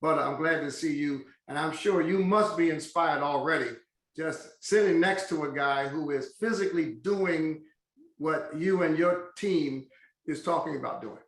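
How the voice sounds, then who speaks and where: A man hosting an interview in a measured, emphatic way, slowing down to stress key phrases. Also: on the high side, a bit bright, reverberant, poor audio. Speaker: host.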